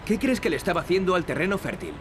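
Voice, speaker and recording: An actor playing a cocky, wisecracking young adventurer hero in a video game. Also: male voice, high voice, expressive, rapid-fire. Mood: wry determination. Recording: loud game music behind. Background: music.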